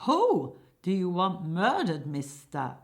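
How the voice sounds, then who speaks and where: A woman's voice: mid-range voice, big pitch swings, measured pace, darkish tone, voice pushed up. A woman voicing a brash hired killer in a comic stage play, punching key words with a salesman's delivery. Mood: menacing, emphatic.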